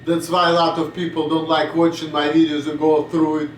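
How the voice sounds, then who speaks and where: Young man with a Russian accent, rambling blunt vlog talk, highish voice, echoey room, set back from the mic. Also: monotone, brisk, far from the mic, a little hiss or hum. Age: 20s. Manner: blunt, swearing, drifts off topic. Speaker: vlogger. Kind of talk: rambling casual monologue.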